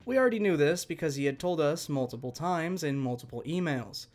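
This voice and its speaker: A man working as a channel host, reading online stories aloud in an expressive, conversational way and speaking directly to listeners. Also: a little high, brisk. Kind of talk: reading online stories aloud, scripted narration with chatty asides.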